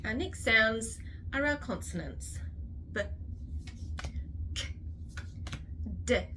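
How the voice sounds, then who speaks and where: Slow, careful New Zealand woman teacher. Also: a little high, lively intonation, background noise. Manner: careful, deliberate, sounds out each letter. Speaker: literacy teacher. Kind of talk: slow instructional phonics tutorial.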